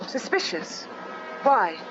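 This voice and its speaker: A woman's voice: fairly high pitch, sing-song, takes it slowly. A woman acting a polite, uneasy married passenger in a radio drama, speaking hesitantly and apologetically. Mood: shocked. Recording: dull lo-fi radio recording, noisy background.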